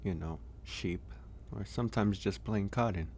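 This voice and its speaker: A man playing a gentle, caring werewolf in a soft, intimate ASMR audio roleplay, speaking hesitantly and reassuringly with frequent pauses. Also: lowish voice, a little hiss or hum. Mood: hesitant, explanatory. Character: gentle male werewolf, caring stranger nursing a guest.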